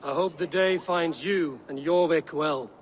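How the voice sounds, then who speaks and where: A man playing a stern, forthright Viking warrior in a dramatic video game, speaking in a measured, blunt way. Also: fairly high pitch, slight background noise, natural voice. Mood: formal.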